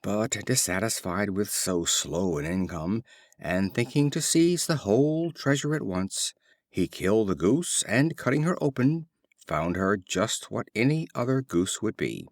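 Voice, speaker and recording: Male audiobook reader for fables: lowish voice, lively melodic swings, close dry sound. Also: measured pace, dry recording. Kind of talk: scripted storybook narration of fables.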